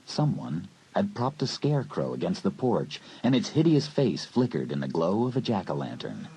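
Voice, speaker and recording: A man narrating a horror audiobook in a measured, brooding storyteller's manner. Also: fairly low pitch, sing-song, mellow, poor audio. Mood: eerie. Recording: hissy old cassette recording.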